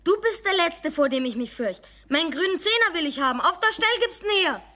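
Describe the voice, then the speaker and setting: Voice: very high voice, lively intonation, a little fast, bright, forceful tone, full character voice. A performer playing an indignant young schoolboy with a Bavarian accent in a children's radio play. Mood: accusing, angry.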